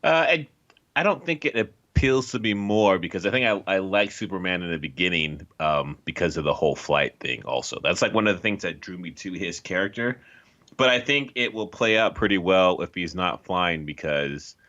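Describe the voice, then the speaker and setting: A man's voice: sits low, animated, moderate pace, sharp tone. A man who is a voice actor, chatting casually as an interview guest on a lo-fi microphone. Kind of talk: casual chat.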